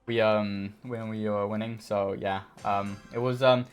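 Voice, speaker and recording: A man with a hoarse, worn voice casually commenting on his own match, easygoing and rambling with many fillers. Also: fairly low pitch, steady tone, unhurried, faint music behind. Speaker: esports player reviewing his own match.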